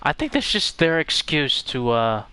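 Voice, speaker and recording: Animated man streaming a video game. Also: fairly high pitch, a little slow, clean tone. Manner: playful reactions, talks back to game characters. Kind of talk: casual gameplay commentary.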